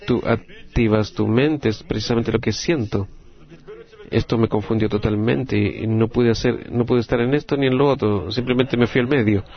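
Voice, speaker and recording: Latin american guy doing live interpreting, gravelly, stop and start phrases, muffled lo-fi sound. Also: mid-range voice. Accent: Latin American Spanish. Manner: halting, phrase-by-phrase delivery. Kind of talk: live spoken interpretation of a group discussion.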